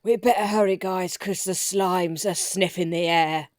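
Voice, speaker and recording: A woman's voice: fairly low pitch, steady tone, measured pace, a little mellow, no room sound, clean studio sound. A woman improvising in-character dialogue as a player in a roleplay podcast.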